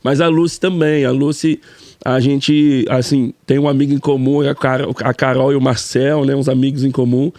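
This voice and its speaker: A man's voice: mid-range voice, little pitch movement, moderate pace, a little mellow, no echo. A man, a pastor, co-hosting a casual church podcast and repeating friendly affirmations.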